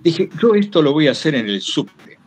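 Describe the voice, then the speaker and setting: A man's voice: fairly high pitch, sing-song, moderate pace, slight background noise. A man with a Rioplatense Argentine accent, telling anecdotes casually in a digressive way and acting out what people said. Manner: digressive, acts out quoted remarks. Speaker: guest storyteller giving a talk.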